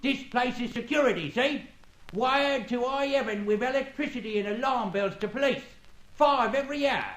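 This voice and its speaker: A man's voice: extremely high pitch, normal speed, fairly bright tone. An actor playing an officious, curt working-class British night watchman with bossy colloquial speech in a radio drama. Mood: warning. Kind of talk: old-time radio detective drama acting. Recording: echoey old radio recording.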